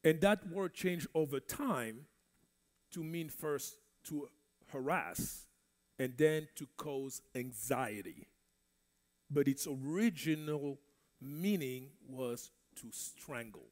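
A man's voice: a little high, takes it slowly, a little mellow. A man from the Congo whose first language is French, teaching a Bible study in a church in a conversational preaching style. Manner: conversational preaching, repeats words. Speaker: minister.

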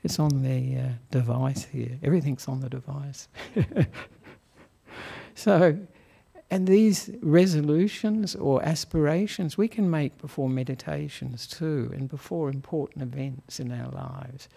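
A soft-spoken Australian man giving a gentle Dhamma talk as a Buddhist monk, chuckling often and pausing on fillers. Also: medium pitch, vocal fry, slightly rough. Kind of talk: relaxed Dhamma talk to a lay audience. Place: meditation hall of a Buddhist society.

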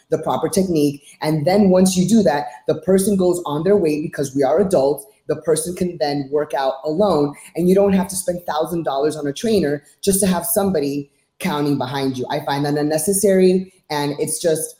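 A woman's voice: sits low, mellow. A woman in her forties from Miami, speaking bluntly and emphatically as a fitness coach answering interview questions. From Miami, United States. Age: 40s. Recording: roomy, echoing sound.

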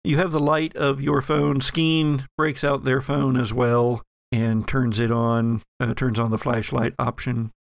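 A man working as game master, narrating a horror tabletop game and thinking aloud in a halting way. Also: medium pitch, level tone, gravelly, no echo.

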